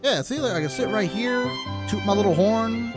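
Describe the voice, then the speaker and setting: A woman's voice: mid-range voice, animated, moderate pace, over loud music. A woman giving casual live commentary as a game streamer, informal and sweary, reacting as she goes.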